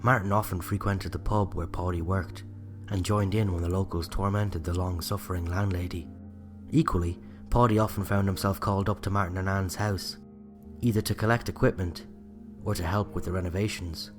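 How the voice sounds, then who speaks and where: A man with an Irish accent narrating a scripted true-crime story in a measured, reflective way. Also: sits low, fairly even intonation, soft background music. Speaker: writer and narrator.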